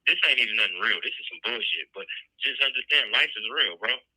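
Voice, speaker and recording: A man's voice: mid-range voice, expressive, fast, slightly rough, dry recording. A middle-aged man in a casual phone interview, speaking over a telephone line in a brash, slangy, defiant manner. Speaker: jailed interviewee on a phone call. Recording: dry, sharp jail phone call.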